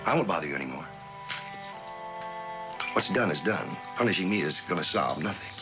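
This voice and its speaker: An actor playing a slick, persuasive American spy who talks insistently in an old suspense drama. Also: male voice, sits low, expressive. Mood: placating. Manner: insistent, fast-talking persuasion. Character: slick persuasive male spy pressuring a scientist. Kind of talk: mid-century American suspense drama acting. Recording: old broadcast audio with music behind.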